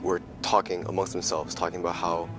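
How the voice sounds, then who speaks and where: A man in an interview, softly and hesitantly recalling an encounter. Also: low voice, level tone, brisk, over music.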